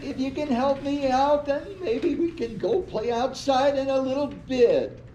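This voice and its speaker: Someone reading a busy big brother, mellow and echoey. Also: high-pitched, unhurried. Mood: helpful. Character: busy, bossy older brother absorbed in building Lego. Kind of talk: staged play reading. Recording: muffled, echoey room with faint noise.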